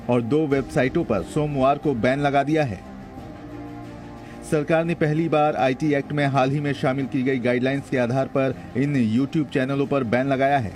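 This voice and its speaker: An Indian man reading a scripted television news report as a voice-over. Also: medium pitch. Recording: voice-over with prominent music bed.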